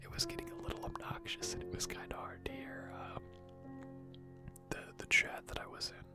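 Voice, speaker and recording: A person whispering casual, rambling ASMR gaming commentary in a hushed, chatty way, close to the microphone. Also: fairly quick. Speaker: video game streamer. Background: music.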